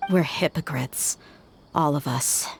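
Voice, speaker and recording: Low-voiced, world-weary mercenary woman. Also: takes it slowly, soft background music. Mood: bitter, pensive. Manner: sincere, reflective, steady. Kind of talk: dramatic video game dialogue.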